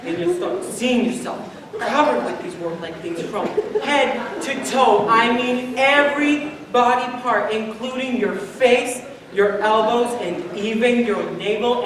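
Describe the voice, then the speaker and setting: A woman's voice: fairly high pitch, a little slow, a crowd in the background. A teenage girl in a school readers theater, telling a story with growing disgust. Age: teens. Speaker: student performer. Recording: echoey room from far off with noise.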